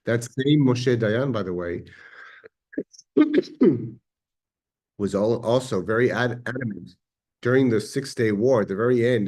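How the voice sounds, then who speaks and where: A man with an Israeli accent giving a measured, reflective history lecture, pausing now and then with fillers. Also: fairly low pitch, a little mellow. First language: Hebrew.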